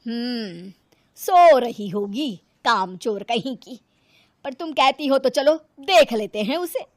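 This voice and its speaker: Woman playing a stern, high-voiced mother-in-law. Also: lively intonation, slow pace, no echo, clean studio sound. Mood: grumbling. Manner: scolding, commanding. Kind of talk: cartoon moral-story voice acting.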